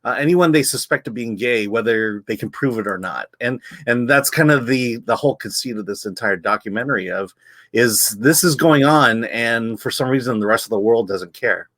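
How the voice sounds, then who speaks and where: A man's voice: mid-range voice, moderate pace, fairly bright tone, dry recording. A man, editor of a film site, hosting a casual review chat with many ums and repeated words. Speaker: film magazine editor and host.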